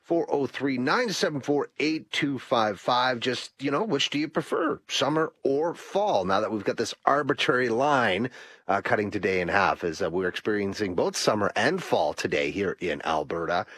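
A man's voice: medium pitch, moderate pace, slightly rough, no room sound. A man hosting a call-in radio talk show, chatty and full of "uh" fillers. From Canada. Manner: chatty, many uh fillers, lively delivery.

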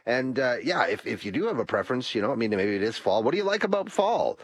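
A man's voice: medium pitch, quick pace, slightly rough, no room sound. A man hosting a call-in radio talk show, chatty and full of "uh" fillers. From Canada. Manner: chatty, many uh fillers, lively delivery.

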